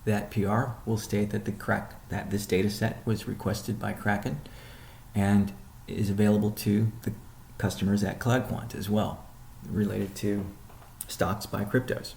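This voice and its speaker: A man with a fairly low voice that has plenty of gravelly fry speaks in an echoey room. He sounds like an executive running a routine conference call, setting out updates in a measured, explanatory way and often pausing partway through a sentence. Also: level tone, a little mellow, a little hiss or hum. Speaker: company CEO hosting a weekly update call. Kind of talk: informal business conference call briefing. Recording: roomy, echoing sound.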